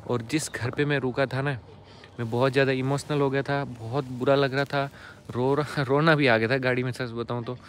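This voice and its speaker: A man's voice: mid-range voice, steady tone, moderate pace. Young indian guy chatting to his phone on a train platform at night, faint station noise behind, arm's length. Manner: chatty, addresses viewers directly, drops to a hush near sleeping passengers. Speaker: travel vlogger. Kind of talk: casual travel vlog to camera. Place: outdoor railway platform at night. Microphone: phone held at arm's length.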